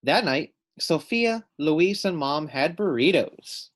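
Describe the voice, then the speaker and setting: Slow, animated man reading to children. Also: a little high. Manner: explains the story simply to young listeners. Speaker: storybook reader. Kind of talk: children's read-aloud narration with asides.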